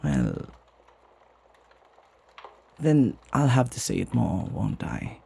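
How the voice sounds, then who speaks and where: Man playing a flirty butler, breathy and low. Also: huge pitch swings, full character voice. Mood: tender, flirtatious. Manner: soft, teasing, tender, hesitant pauses. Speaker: voice actor. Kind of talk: ASMR romantic roleplay.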